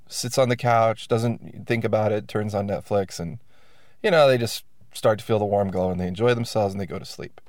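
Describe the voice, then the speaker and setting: A man with a lowish voice hosts his podcast in a loose, self-deprecating ramble, his nose stuffed up from allergies. He's close on a dry mic with no room sound. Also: darkish tone, no room sound. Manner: wry, self-deprecating, many restarts and fillers. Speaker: podcast host. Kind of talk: casual rambling monologue.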